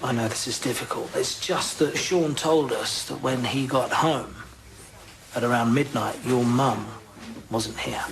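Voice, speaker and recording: A British man playing a steady police detective, asking measured, probing questions in a naturalistic drama style. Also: mid-range voice, noisy background, lightly acted. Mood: gently probing.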